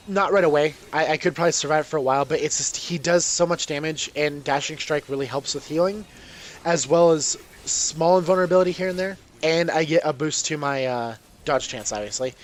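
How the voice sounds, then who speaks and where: A man's voice: on the high side, fairly even intonation, fairly quick, a little hiss or hum. A man with a congested voice from a cold, casually commenting on his own gameplay with lots of ums and restarts. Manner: rambling, self-correcting, frequent ums.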